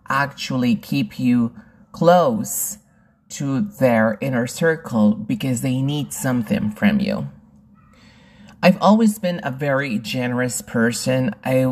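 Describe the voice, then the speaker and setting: A woman hosts her own podcast, telling a personal story in a thoughtful, halting way. Her voice sits very low for a woman, and she speaks slowly with frequent pauses. She is from Peru and in her thirties or forties. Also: darkish tone. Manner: slow, reflective, with many pauses and fillers. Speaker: podcast host and English teacher.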